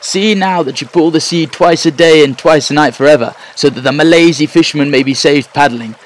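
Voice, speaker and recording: A man's voice: a little high, fairly quick, voice pushed up, lightly acted. A man voicing an ancient magician who speaks in solemn, commanding pronouncements. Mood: scolding.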